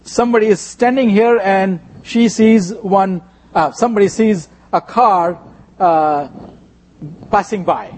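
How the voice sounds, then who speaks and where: A man with an Indian accent, a native Gujarati speaker, preaching in short phrases with a husky voice, pausing for an interpreter. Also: high-pitched, a little mellow.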